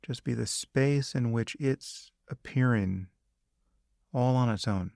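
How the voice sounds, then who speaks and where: A man leading a guided meditation, giving calm, measured instructions with long pauses. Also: mid-range voice, vocal fry, no room sound, studio quality.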